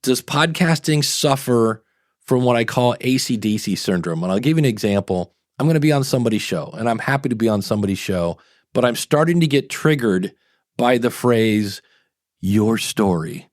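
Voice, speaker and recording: A man's voice: mid-range voice, lively intonation, normal speed, dry recording, clean studio sound. A man hosting a podcast, giving his opinions in a casual, rambling way. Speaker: podcast host.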